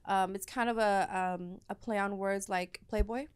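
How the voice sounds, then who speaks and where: A woman's voice: medium pitch, steady tone, normal speed, clean tone. A woman from Dallas chatting casually as a guest in an interview, talking with many fillers and restarts. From Dallas, Texas. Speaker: guest, host of an adult content show.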